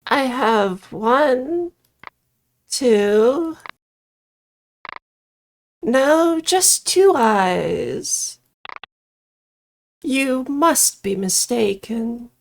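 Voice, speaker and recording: A woman voicing a mysterious witch from a visual novel, feigning sweet innocence in a slow, drawn-out, coy and sinister manner. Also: on the high side, expressive, gravelly, pitched above the performer's own voice, heavily acted. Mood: feigned innocence. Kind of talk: visual novel character read-aloud.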